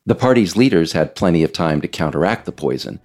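A man hosting a podcast series, reading scripted narration in a measured, emphatic, explanatory way. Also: deep voice, sing-song, darkish tone. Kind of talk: scripted narration, audio essay read aloud.

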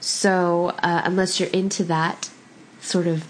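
A woman hosts a podcast while getting over a cold, her voice husky and stuffed up. She sits a little low in pitch and rambles in a casual, self-deprecating way, full of ums and asides. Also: level tone, slow pace, slight background noise, rough recording. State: congested from a cold. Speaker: podcast host.

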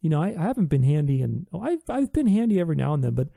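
A man's voice: on the high side, very animated, racing, creaky, mellow, no room sound, clean studio sound. A man hosting a podcast, talking earnestly in a reflective solo monologue, with many restarts. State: recovering from voice loss.

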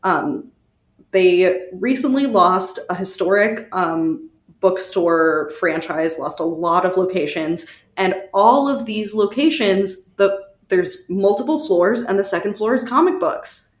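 A woman's voice: mid-range voice, lively intonation, slow pace, roomy sound. A woman chatting casually and emphatically as a podcast co-host, with exasperated opinions. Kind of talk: casual opinionated panel chat.

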